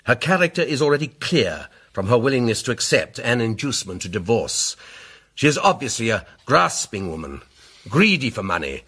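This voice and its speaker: An actor playing a pompous, self-assured older English gentleman lawyer in a radio drama, setting out his case with rhetorical deliberation. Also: mid-range voice, sing-song, moderate pace, breathy edge, heavily acted. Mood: grave, assertive.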